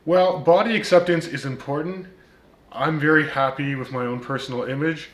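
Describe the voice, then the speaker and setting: A man's voice: fairly high pitch, moderate pace, fairly bright tone, roomy sound. Man with a slight Turkish accent. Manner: many ums and uhs, wry comebacks. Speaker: watch reviewer, channel host. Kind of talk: casual vlog commentary.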